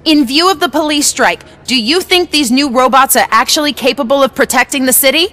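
A persistent journalist in a video game. Her voice is a little high, and she speaks in formal, pointed sentences. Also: brisk, fairly bright tone. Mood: insistent. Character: persistent, probing female news reporter. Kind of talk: video game cutscene acting.

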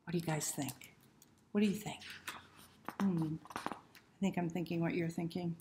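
A woman's voice: lowish voice, fairly even intonation, a little slow. A middle-aged woman reading a picture book to children as a library storyteller, often asking questions and inviting them to join in. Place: small room indoors. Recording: roomy, faint background noise.

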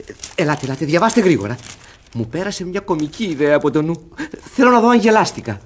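An actor playing a cheeky, shrewd young manservant in a radio comedy, brisk and impish. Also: male voice, fairly high pitch, sing-song. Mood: excited, curious. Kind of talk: theatrical radio comedy acting.

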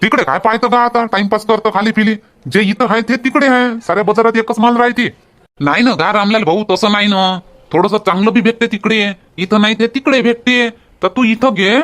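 A man's voice: high voice, brisk, a bit bright. A man voicing a folksy, talkative village man in a comedy cartoon, bantering colloquially with a Varhadi Marathi accent. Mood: chatty. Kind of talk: homemade comedy cartoon dialogue.

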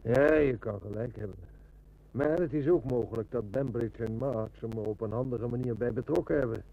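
An actor playing a shrewd, determined police inspector in a radio drama, matter-of-fact and commanding. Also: male voice, mid-range voice, moderate pace, creaky, muffled recording. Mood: brooding. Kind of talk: Dutch radio drama acting. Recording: noisy old radio recording with hum.

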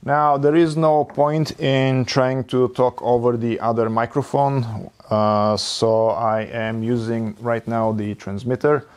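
Bulgarian guy in his 40s explaining mic gear real slow, lots of uhs, in his workshop. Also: medium pitch, fairly even intonation, a little mellow. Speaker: woodshop channel host. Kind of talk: unboxing and gear demo. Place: cluttered home workshop.

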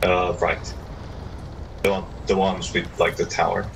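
A very low male voice, worn out from a long day, chats loosely with a friend during a co-op game. He answers in short, relaxed bits, and a faint hiss runs under the voice chat. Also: unhurried, creaky, poor audio. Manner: laid-back, tired, short replies. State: tired. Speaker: co-op gaming partner. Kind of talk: casual voice chat while gaming.

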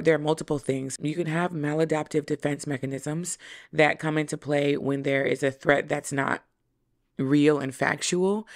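A woman in her thirties with a hoarse, raspy voice, hosting a solo podcast and explaining ideas in a conversational way, speaking into a stand mic in a small room. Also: low voice. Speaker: podcast host. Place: small decorated room indoors. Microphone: studio mic on stand in front.

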